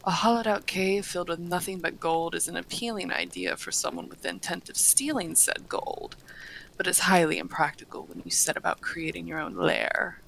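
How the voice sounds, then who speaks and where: A woman's voice: fairly low pitch, expressive, creaky, a bit bright, slight background noise, poor audio. A woman playing a courteous, refined half-dragon hostess in a fantasy roleplay, speaking formally with dry teasing. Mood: explaining, proud. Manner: genteel, formal, unhurried wording with dry teasing. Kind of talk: fantasy roleplay audio acting, addressing the listener.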